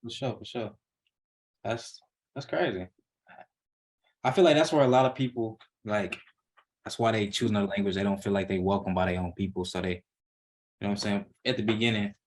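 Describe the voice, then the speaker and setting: A man hosting a casual interview, relaxed and slangy, with short affirming replies. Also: on the low side, a little fast, pauses often. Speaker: interview host.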